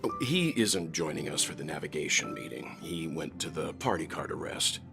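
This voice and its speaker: An actor voicing a calm, composed older gentleman who speaks in a measured, reassuring way in game dialogue. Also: a bass voice, creaky, music in the background, full character voice.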